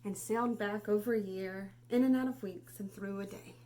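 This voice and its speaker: A woman reading a children's story aloud, dramatically moving between hushed and raised delivery. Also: medium pitch, moderate pace, rough recording. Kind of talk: expressive children's read-aloud. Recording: noticeable background noise.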